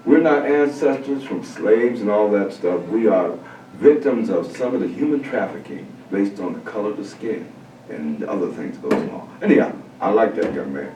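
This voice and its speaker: A man hosting a talk show, speaking emphatically and a bit preachily, his pitch swinging widely as he makes his points. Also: expressive, moderate pace, noisy background. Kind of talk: casual interview. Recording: roomy sound from a distant mic.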